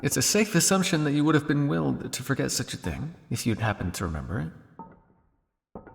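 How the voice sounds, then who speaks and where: Suave male vampire scientist, played by a man, cynical and condescending, gravelly creak, long reverb, ASMR roleplay. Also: a little high, very animated, soft background music. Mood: probing, wry. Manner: teasing, condescending, drawling with theatrical pauses.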